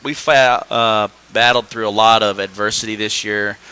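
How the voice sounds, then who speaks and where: Midwest high school coach guy, kinda low but bright voice, lots of uh and you know. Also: fairly even intonation, smooth, rough recording. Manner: halting, many fillers and restarts. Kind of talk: casual podcast chat.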